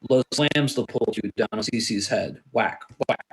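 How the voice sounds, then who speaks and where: A man's voice: lowish voice, moderate pace. A man reading scripted action narration aloud at a table read. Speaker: stage directions reader.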